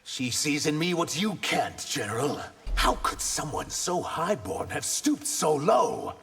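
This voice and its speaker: A man's voice: a little high, sing-song, measured pace, fairly bright tone, faint music behind, heavily acted. A man playing an earnest, vengeful reptilian warrior in a video game, speaking with intense, dramatic defiance. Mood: defiant. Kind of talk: video game character dialogue.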